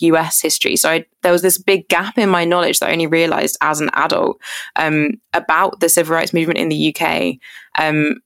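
A woman's voice: sits low, a bit bright, no echo, clean studio sound. A woman in her twenties with a southern English accent, talking in an earnest, rambling, casual way as an interview guest. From Surrey, England (born in Jamaica). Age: 20s. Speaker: podcast guest, activist and writer. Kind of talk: casual reflective interview talk.

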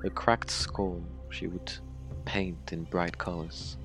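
An actor playing a haunted young Mexican man in his twenties, telling his tragic story in a halting, sweary, informal monologue for an audio drama. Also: low voice, flat delivery, music in the background. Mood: wry storytelling. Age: 20s.

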